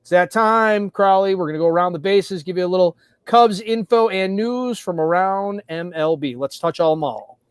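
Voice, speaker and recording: A man's voice: high-pitched, moderate pace, clean tone, no room sound, studio quality. A man hosting a sports podcast, delivering a scripted, upbeat intro. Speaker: podcast host.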